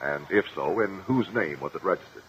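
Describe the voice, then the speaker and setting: An actor playing a shrewd, confident courtroom investigator in a 1950s radio drama, speaking formally and precisely. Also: male voice, deep voice, expressive, a little fast, fairly bright tone. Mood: probing, formal. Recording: muffled, noisy old radio recording.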